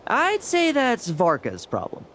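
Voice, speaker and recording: A breezy, teasing young knight from a video game. The delivery is wry and swings widely in pitch, with faint noise behind. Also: male voice, wildly swooping pitch, measured pace, fairly bright tone, full character voice.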